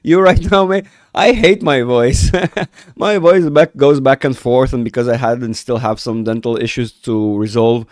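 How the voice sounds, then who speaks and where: A man's voice: mid-range voice, moderate pace, darkish tone, no echo, clean studio sound. Rambling male livestream host with a cold. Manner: rambling, self-interrupting, reads chat aloud. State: has a cold, voice rough. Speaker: market analysis livestream host. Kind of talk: casual livestream chat with viewers.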